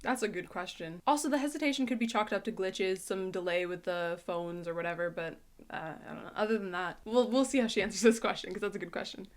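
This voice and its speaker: A woman giving casual, animated reaction commentary as a host, often acting out how she imagines people would respond. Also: mid-range voice, fast.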